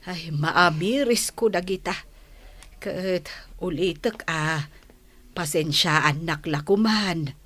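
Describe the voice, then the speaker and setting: A woman playing a tactless village gossip in an Ilocano radio drama, with plaintive, drawn-out interjections. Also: on the low side, fairly bright tone. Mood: sheepish.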